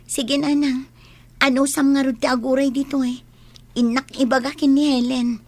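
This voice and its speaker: A woman's voice: sits high, flat delivery, normal speed, darkish tone. A Filipino woman playing a dutiful, worried daughter in an audio drama, earnest and deferential. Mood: concerned. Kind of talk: Ilocano audio drama acting.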